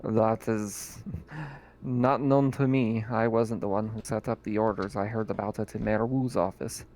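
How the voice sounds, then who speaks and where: A man running a tabletop roleplaying game as game master, describing scenes in a relaxed way with many fillers. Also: on the low side, a little slow, slight background noise, low-quality recording.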